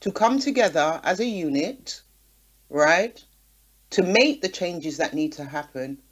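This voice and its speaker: A woman's voice: on the low side, expressive, unhurried, breathy edge. A woman speaking in a passionate, conversational radio discussion, emphatic and punctuating her points with rhetorical questions. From Caribbean community in the UK. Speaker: radio talk show guest.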